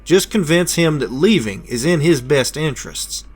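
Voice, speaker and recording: An actor voicing a matter-of-fact bounty contractor in a video game, giving a measured, dryly blunt mission briefing. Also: male voice, fairly high pitch, expressive, slightly rough. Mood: businesslike, dry.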